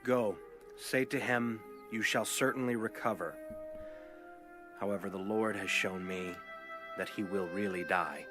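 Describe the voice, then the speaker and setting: A man acting a low, sorrowful prophet. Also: a little slow, music in the background, close to an everyday voice. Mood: grave, sorrowful. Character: grave, sorrowful male prophet of ancient Israel. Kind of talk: dramatized scripture audiobook acting.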